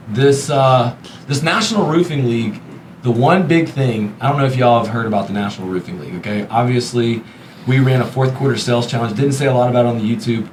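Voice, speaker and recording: A man's voice: medium pitch, a little mellow, echoey room, low-quality recording. A man from Texas with an American accent, talking casually as the host of a business podcast. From Texas, United States. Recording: clear background noise, room ambience.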